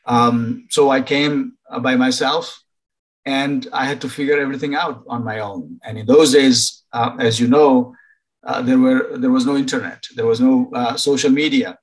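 An older man from Nepal, a professor advising international students over a video call, pausing often to find words. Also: mid-range voice, fairly even intonation, low-quality recording. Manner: halting, many fillers and restarts. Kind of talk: informal online presentation.

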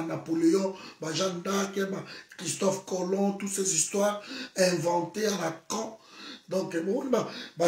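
A man in his thirties with a Congolese French accent, a native Lingala speaker, delivering an impassioned political monologue to camera from a sofa and mixing French with Lingala. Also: high voice. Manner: switches between French and Lingala, grows heated and shouting. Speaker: political vlogger. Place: indoor room, seated on a sofa. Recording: echoey room, voice a bit far from the mic.

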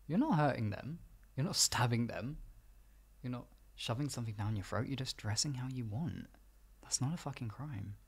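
A man playing a protective, affectionate boyfriend in an improvised ASMR roleplay, speaking in a husky, soft, reassuring and intimate way. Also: mid-range voice, expressive, normal speed. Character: protective, affectionate boyfriend comforting his insecure partner.

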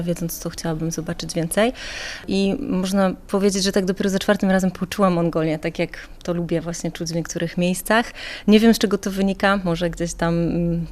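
A woman's voice: lowish voice, a little mellow, slight background noise. A woman talking conversationally as a travel guide in a radio interview, chatty and explanatory in long, rambling sentences.

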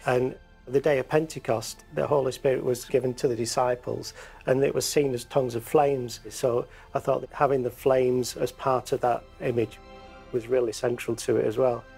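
A middle-aged craftsman with a gravelly, mid-pitched voice talks through his methods step by step. He sounds patient and instructive, recorded in a workshop with faint music underneath. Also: little pitch movement, moderate pace, darkish tone. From England. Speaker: stained glass craftsman. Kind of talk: explaining his craft in an interview. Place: glass workshop studio.

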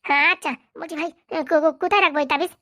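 A woman's voice: high voice, fairly even intonation, rapid-fire, pushed and bright. A woman voicing a timid, gullible village boy who stammers and whines nervously. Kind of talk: comic cartoon voice acting.

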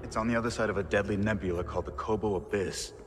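Game sidekick: actor as friendly male hired gun, conversational, lowish voice in echoing space. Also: steady tone, fast talker, noisy background, natural voice. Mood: polite, serious. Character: friendly, practical male freelance gunslinger ally. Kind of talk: cinematic video game acting. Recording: long hall reverb, game score behind.

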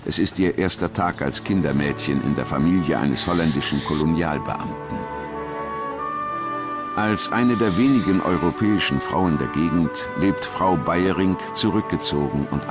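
Very deep-voiced male documentary narrator.

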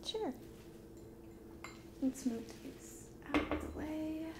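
A woman in her twenties doing a soft-spoken ASMR roleplay to the listener, gentle and hushed, drifting into whispers. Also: high-pitched, takes it slowly, very noisy. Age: 20s. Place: dim indoor room at a table.

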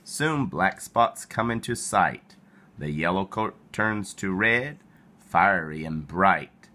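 A man reading a children's picture book aloud in an expressive storytelling style, animated and playful. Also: sits low, slow. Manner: animated, with playful exclamations and sound effects.

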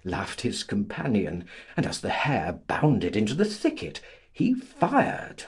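A man narrating a literary audiobook in a theatrical, deliberate, scripted style, with a husky voice. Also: lowish voice, wildly swooping pitch.

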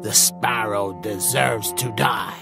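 Very gravelly, grumpy old-school cartoon mole. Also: male voice, on the low side. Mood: disdainful. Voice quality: gravelly, creaky. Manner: slow, disdainful. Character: grumpy, callous, pompous male mole. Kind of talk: children's cartoon voice acting. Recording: music behind the voice.

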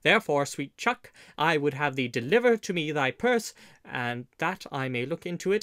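A man's voice: a little high, a bit bright, dry recording, studio quality. A man voicing a bold, mock-courteous outlaw leader in a storybook, with florid, commanding, archaic speech. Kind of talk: audiobook storybook character.

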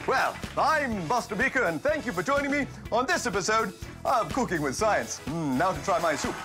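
A man playing a jolly, excitable TV chef, presenting to children in a playful, theatrical, exclamatory way. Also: high voice, normal speed, over music. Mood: cheerful. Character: excitable, jolly male TV cooking-show chef. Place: kitchen set, indoors.

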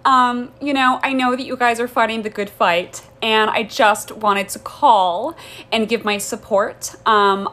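A woman's voice: mid-range voice, bright, forceful tone. A young woman playing an entitled, easily rattled suburban mom who leaves a polite, hesitant voicemail full of ums, recorded on a phone in a bedroom. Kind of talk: comedy voicemail sketch. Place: bedroom by a window. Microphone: phone camera at arm's length.

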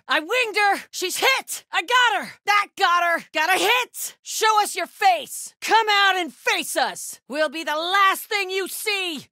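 A woman playing a fierce, devout cult warrior in a video game, speaking in terse combat and stealth barks with a husky voice. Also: very high-pitched, sharp tone, no echo, studio quality, heavily acted. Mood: fierce battle shouting. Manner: terse, clipped phrases.

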